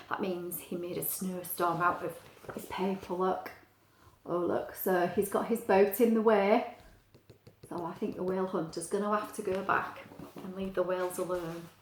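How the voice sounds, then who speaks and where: A woman reading a picture book aloud to young children, explaining things simply and adding chatty asides. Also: mid-range voice. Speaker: story reader for young children. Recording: echoey, roomy sound.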